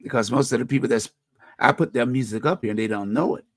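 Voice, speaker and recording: A middle-aged man with a deep voice, hosting a community radio talk show and speaking earnestly about faith and helping others, often restarting phrases. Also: quick pace, no echo. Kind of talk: reflective personal talk.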